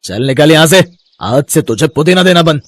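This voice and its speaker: A greedy, bullying village landlord, voiced by a man, talks with haughty contempt. The voice is slightly husky and swings widely, in theatrical storybook style. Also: fairly high pitch, lively intonation, moderate pace. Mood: scornful, angry. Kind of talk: Hindi moral story voice acting.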